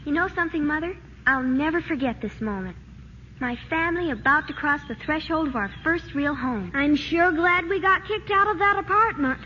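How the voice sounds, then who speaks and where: An actress playing a cheeky, wry young daughter, pert and teasing, in a 1940s radio comedy. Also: female voice, high-pitched, animated, moderate pace, bright, forceful tone. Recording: dull, noisy old radio recording.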